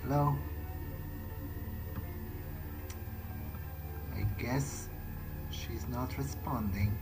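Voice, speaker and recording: A jittery young vampire played by a gamer, mid-pitched and slow to get words out. The speech is hesitant and muttered, with repeated words and mild swearing, exasperated at everything around. Game music plays clearly behind the voice. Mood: uneasy. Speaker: let's player playing in character. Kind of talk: in-character game playthrough reactions, improvised.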